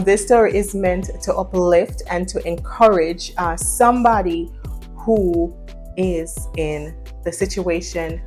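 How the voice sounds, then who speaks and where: A Jamaican woman vlogging from her home, talking earnestly to camera in a preachy, emphatic way and repeating phrases for stress. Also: on the low side.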